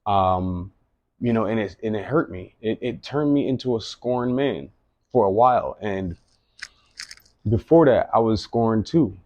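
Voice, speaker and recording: Late 30s guy talking earnest and rambly, lowish voice, kinda muffled lo-fi recording. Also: lively intonation, unhurried, a little mellow, dry recording. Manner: earnest, rambling, repeats himself. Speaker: vlogger. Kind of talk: reflective personal monologue.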